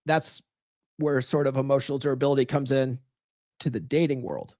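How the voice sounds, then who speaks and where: A man's voice: mid-range voice, steady tone, brisk, darkish tone, dry recording, clean studio sound. A man hosting a podcast, talking loosely and profanely, full of "right?" and "like" fillers. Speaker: podcast host. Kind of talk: casual live-stream monologue.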